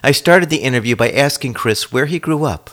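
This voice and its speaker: A man hosting a community radio program, introducing the show and asking questions in a steady, measured way. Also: medium pitch. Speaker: radio host and interviewer.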